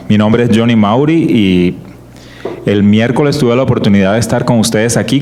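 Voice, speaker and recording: A man's voice: lowish voice, darkish tone. Colombian coastal man giving a church talk. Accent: Colombian coastal Spanish. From Barranquilla, Colombia. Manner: conversational, with frequent pauses and restarts. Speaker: guest speaker.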